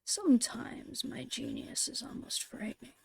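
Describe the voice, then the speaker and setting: Woman, soft whisper with creaky edges, unhurried murmured musing, ASMR art host, close microphone. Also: a little high, huge pitch swings. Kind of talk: whispered ASMR narration of digital painting.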